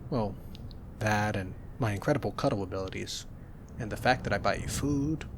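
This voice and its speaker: A young man with a lowish voice talks softly and gently, teasing in a playful way. It is an intimate ASMR roleplay delivery. A steady hiss runs under the recording. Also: moderate pace. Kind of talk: soft-spoken ASMR roleplay.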